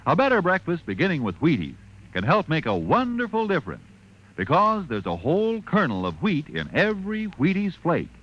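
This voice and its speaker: An American man doing an upbeat 1950s radio advertising pitch in a punchy, sloganeering manner. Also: wildly swooping pitch, moderate pace. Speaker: commercial announcer. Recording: muffled old radio broadcast recording.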